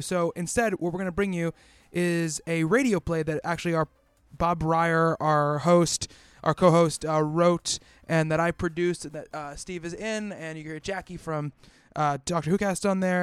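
A man's voice: fairly high pitch, clear, resonant tone, no room sound. A man giving a casual spoken introduction as a podcast host.

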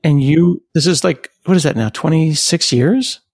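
A man's voice: fairly high pitch, moderate pace, slightly rough, no room sound, studio quality. A man hosting an interview podcast, talking in a conversational presenter's way. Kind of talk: conversational tech interview with sponsor read.

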